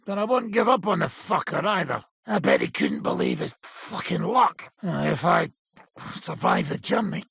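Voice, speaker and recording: A battle-worn old outlaw baron for a video game, with a heavily rasping, crackling voice that sits fairly high for a man. He is blunt, coarse and sardonic, and his pitch swings widely as he talks. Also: huge pitch swings, normal speed, fairly bright tone, no room sound, clean studio sound, exaggerated voice. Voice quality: gravelly, very raspy. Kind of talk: video game character acting.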